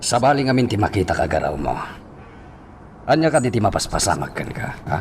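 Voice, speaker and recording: An actor playing a concerned, questioning head of a household in a radio drama. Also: male voice, lowish voice, big pitch swings, brisk, slight background noise.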